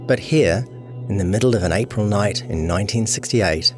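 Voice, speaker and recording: A man's voice: lowish voice, moderate pace. A man reading a scripted true crime long-read as narrator. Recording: music behind voice. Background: guitar music.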